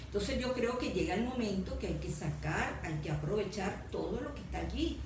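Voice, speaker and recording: A woman's voice: medium pitch, animated, brisk. A Venezuelan woman speaking earnestly and emphatically as a panelist, explaining her views in a discussion. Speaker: panelist on environmental issues. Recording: echoey room, faint background noise.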